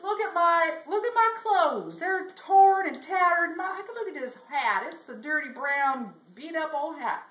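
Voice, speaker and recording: Woman putting on a high-pitched, folksy cowboy. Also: unhurried, reverberant, natural voice. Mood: bewildered, dismayed. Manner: folksy, exclamatory, plain-spoken. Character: Bubba, humble, hapless young male cowboy. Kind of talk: comic folksy storytelling character voice.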